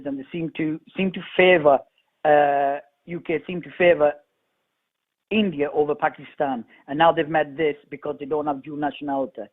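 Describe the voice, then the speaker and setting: A young man calls in over a thin, tinny phone line, his voice pitched a little high. He puts his question eagerly, stopping often for "uh" and "you know". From England. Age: young adult. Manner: eager, questioning, with frequent fillers. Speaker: caller. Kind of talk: phone-in call. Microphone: telephone.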